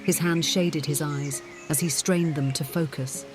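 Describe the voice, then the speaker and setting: Audiobook narrator over clear background music. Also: medium pitch, steady tone, measured pace. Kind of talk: scripted story narration.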